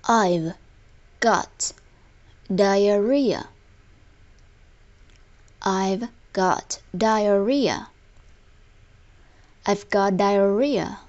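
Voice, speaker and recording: Very slow, deliberate woman teacher. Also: mid-range voice, darkish tone, muffled recording. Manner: deliberate, word-by-word enunciation. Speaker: language teacher. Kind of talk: scripted lesson narration, slow repeated example phrases.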